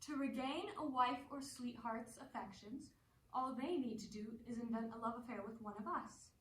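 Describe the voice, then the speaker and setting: An actress playing a worldly, amused stage actress in a play, speaking with poised, gently mocking wit. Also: female voice, on the high side, moderate pace, close to an everyday voice. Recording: roomy, distant mic, faint noise.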